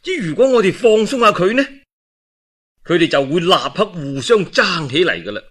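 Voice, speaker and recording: A man with a Cantonese accent voicing a shrewd military strategist who advises his lord in a measured, persuasive way. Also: high-pitched, big pitch swings, a bit bright, slightly rough, heavily acted. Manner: measured, persuasive, reasoning step by step.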